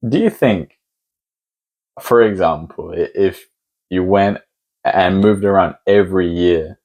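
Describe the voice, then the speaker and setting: A man's voice: deep voice, slow pace, a little mellow. A man hosting a podcast, asking long, thoughtful hypothetical questions in a casual conversation. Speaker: podcast host.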